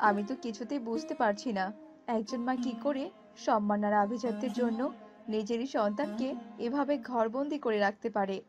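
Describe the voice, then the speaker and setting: Woman playing a righteous, high-voiced elder fairy mother. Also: moderate pace, highs cut off, over music, lightly acted. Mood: troubled, indignant. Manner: scolding, resolute, motherly. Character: righteous, protective elder fairy mother, stern but compassionate. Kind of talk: cartoon voice acting.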